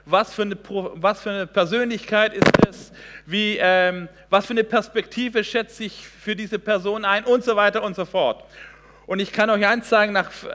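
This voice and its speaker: A man in his forties with a high, bright voice preaches a sermon. He speaks earnestly and emphatically, pausing on fillers and restarting sentences. The sound carries a long hall reverb. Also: slight background noise. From Hesse, Germany. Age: 40s. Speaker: guest preacher.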